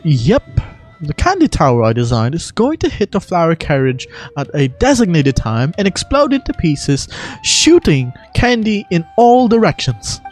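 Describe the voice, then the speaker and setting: Man acting out a theatrical, high-voiced excitable young architect. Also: moderate pace, soft background music. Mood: eager. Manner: theatrical, emphatic. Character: excitable, dramatic young male architect, indignant and earnest.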